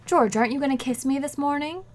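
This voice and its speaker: A woman's voice: sits high, fast talker, lightly acted. A woman playing a playful, nagging housewife in a 1940s-style radio comedy, with teasing, pointed delivery.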